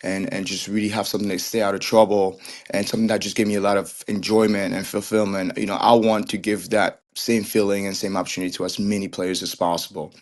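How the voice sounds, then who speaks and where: A young man from Cameroon speaks as a guest in a relaxed interview, his voice sitting a bit low. He talks earnestly and keeps restarting his sentences with repeated little words. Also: quick pace. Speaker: guest, soccer trainer and coach. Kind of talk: casual interview chat.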